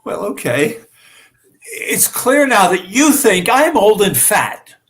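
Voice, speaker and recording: A woman's voice: medium pitch, sing-song, slow pace. A woman playing a needy, weepy, easily hurt ageing father in an amateur staged play reading, with a plaintive, wounded delivery. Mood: hurt, sulking.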